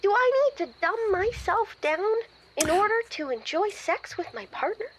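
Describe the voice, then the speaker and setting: A woman's voice: very high-pitched, expressive, normal speed, slight background noise. A woman playing a gushing, embarrassed, nerdy lovestruck caller in an improvised comedy, hesitant and then dramatically emphatic. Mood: hopeful. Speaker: caller seeking advice.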